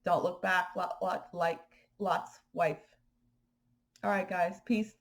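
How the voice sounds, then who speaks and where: A woman from Haiti talking casually to her viewers in a vlog, animated and indignant, with fillers and restarts. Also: fairly low pitch, takes it slowly. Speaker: spiritual vlogger. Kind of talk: casual spoken commentary to viewers.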